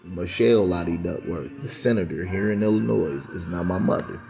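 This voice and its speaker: Deep gravelly man ranting. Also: fairly quick, over music. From United States. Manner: insistent, repetitive, emphatic. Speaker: vlogger. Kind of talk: rambling to-camera monologue.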